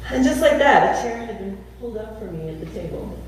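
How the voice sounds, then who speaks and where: A woman preaching a sermon in a church, telling a story and slowing down to stress key words. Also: medium pitch, expressive, slight background noise. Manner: storytelling with slowed emphasis. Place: church sanctuary. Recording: echoey church hall from far off.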